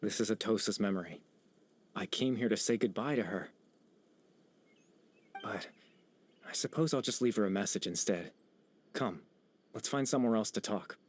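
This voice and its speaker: An actor playing a gentle, melancholy young man in a video game, soft-spoken, courteous and a little hesitant. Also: fairly low pitch, moderate pace, pauses often, natural voice. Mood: polite, reflective. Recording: background music under the voice.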